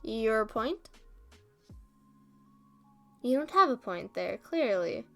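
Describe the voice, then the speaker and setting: Slow-talking, high-voiced roommate woman played by a woman. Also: sing-song, creaky, soft background music, exaggerated voice. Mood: defensive, self-deprecating. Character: rambling, self-deprecating roommate woman venting to a friend. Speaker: audio roleplay performer and script writer. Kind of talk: ASMR roleplay, one side of a casual conversation.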